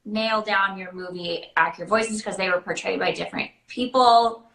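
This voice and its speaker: American woman, bright forceful tone, animated storyteller, casual host chat, echoey room. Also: mid-range voice, moderate pace, vocal fry. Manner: animated storytelling, acting out quoted dialogue. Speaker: live show host and character party performer. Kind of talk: casual chat, answering viewer questions. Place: indoor room, online video chat. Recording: roomy echo.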